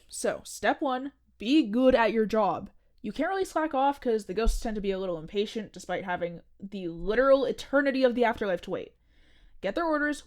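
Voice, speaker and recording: A woman's voice: medium pitch, close to an everyday voice. A young woman acting a strange, cheerfully nerdy coworker in a comedic audio drama, matter-of-fact and teasing. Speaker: restaurant janitor.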